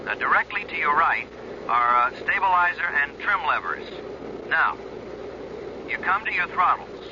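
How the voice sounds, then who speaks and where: An actor in a radio drama playing a calm colonel who coaxes a novice pilot along in a reassuring, halting, instructive way. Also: male voice, a little high, background noise. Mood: patiently instructing. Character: calm, coaxing air force colonel guiding a novice pilot. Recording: muffled radio recording, aircraft drone behind.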